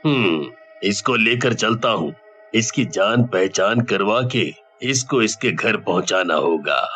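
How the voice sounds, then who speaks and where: A man playing a middle-aged police officer in a horror story, earnest and deliberate. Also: lowish voice, animated, fairly bright tone, heavily acted. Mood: official, stern. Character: middle-aged male police officer, stern grieving father.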